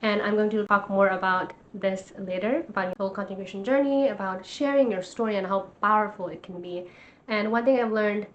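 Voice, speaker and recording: A woman's voice: mid-range voice, steady tone, brisk, muffled recording. A woman in her twenties from Nepal, talking to camera in a casual vlog and sounding earnest and encouraging like a life coach.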